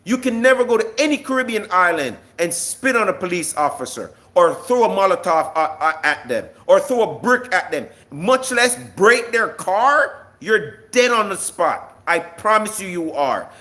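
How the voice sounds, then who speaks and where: A man's voice: high-pitched, animated, normal speed, hardly any pauses, bright, forceful tone. A man delivering an impassioned, combative political monologue to online viewers, emphatic and full of rhetorical questions. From Jamaica. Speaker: live-stream political commentator.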